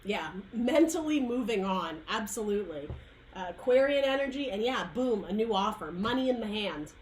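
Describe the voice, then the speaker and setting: A woman's voice: mid-range voice, expressive, moderate pace, a little hiss or hum, low-quality recording. A woman giving a casual tarot reading, her throat dry and her voice going, rambling and searching for words. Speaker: tarot reader.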